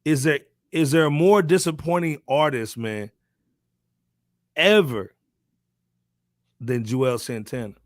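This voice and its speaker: A man's voice: on the high side, slow, pauses often, no room sound, clean studio sound. A man hosting a podcast in casual hip hop discussion, with a slangy, conversational delivery peppered with asides. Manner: slangy, animated asides, frequently says "man". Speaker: podcast host.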